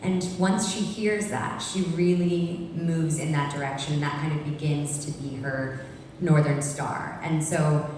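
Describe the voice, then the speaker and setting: Lively woman in a roomy hall. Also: low-pitched, measured pace, a little hiss or hum. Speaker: actress at a press conference. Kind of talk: panel answers at a press event. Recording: echoey hall, voice from far off.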